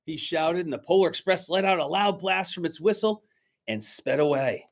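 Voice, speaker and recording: A man reading a picture book aloud dramatically to children, pausing to coax them with questions like a teacher. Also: on the high side. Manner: dramatic, teacherly, coaxing young listeners with questions.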